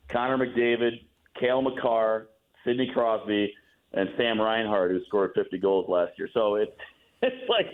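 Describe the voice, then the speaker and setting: Young man on a tinny phone line. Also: medium pitch. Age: young adult. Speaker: hockey radio play-by-play announcer as guest. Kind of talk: casual sports interview chat. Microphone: phone call.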